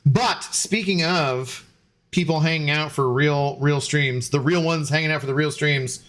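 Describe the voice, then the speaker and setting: A man's voice: a little high, a little slow, a bit bright. A young man, a game streamer, chatting loosely with viewers while tipsy, rambling with many fillers and restarts. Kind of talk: casual stream chat to viewers.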